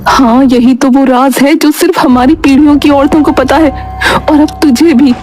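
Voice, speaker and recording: A woman voicing an old village grandmother in a cartoon story, speaking urgently and prophetically, with halting, repeated words. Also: sits high, fairly even intonation, a little mellow, voice pushed up, lightly acted. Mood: urgent, solemn. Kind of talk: dramatic cartoon story acting. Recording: prominent background music. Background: music.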